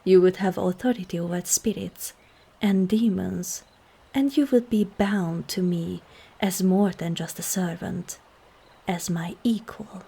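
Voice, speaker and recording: Woman acting as a demon queen, husky, dark soft tone, slow and commanding, seductive, whispery ASMR fantasy roleplay. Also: on the low side, exaggerated voice. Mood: persuasive, regal. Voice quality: breathy, husky. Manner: slow, deliberate, formal, often dropping into whispers.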